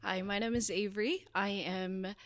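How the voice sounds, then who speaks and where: A woman's voice: mid-range voice, fairly even intonation, moderate pace, no room sound. A young woman from Korea, a student guest, introducing herself hesitantly with fillers. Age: young adult.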